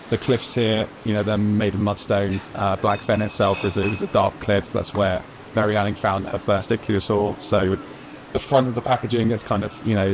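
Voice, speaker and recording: Southern english guy in his 30s, low creaky voice, chatting outside with noise behind him. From Lyme Regis, southern England. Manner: informal, hedging with "kind of" and "you know". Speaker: brewery owner being interviewed. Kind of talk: casual interview. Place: outdoor courtyard by a stone wall. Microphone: lapel mic. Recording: clear outdoor street noise.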